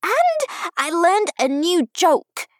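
A woman voicing an excitable, indignant five-year-old girl in an audiobook, with emphatic childish babble. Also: very high voice, drawn-out words, slight background noise, voice pushed up, heavily acted. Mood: proud, happy. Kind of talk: audiobook character voice.

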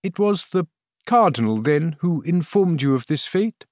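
Storybook queen, played by a male reader: high, thin feminine voice, courtly, fearful, pleading, swooping in pitch. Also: expressive, unhurried, a little mellow, no room sound, studio quality. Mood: faltering, fearful. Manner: formal, courtly, faltering. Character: frightened proud queen, anguished and pleading.

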